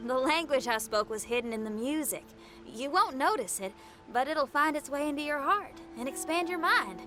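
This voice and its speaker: A woman's voice: high-pitched, animated, normal speed, music in the background. A woman voicing a spirited young female musician in a video game, folksy, breezy and self-assured. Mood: earnest, mystical. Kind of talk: video game cutscene voice acting.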